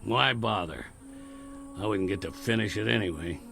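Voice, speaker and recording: An actor playing a defiant, sneering Western outlaw with an American Western accent and a gravelly, blunt, folksy delivery in a radio drama. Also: male voice, on the low side, moderate pace, over music. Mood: cocky, defiant.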